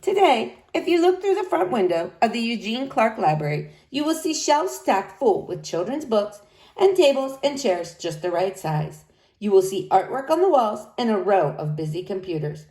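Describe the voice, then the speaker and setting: A woman reading a picture book aloud to young children in an expressive, animated way. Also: on the high side, normal speed. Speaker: storybook reader.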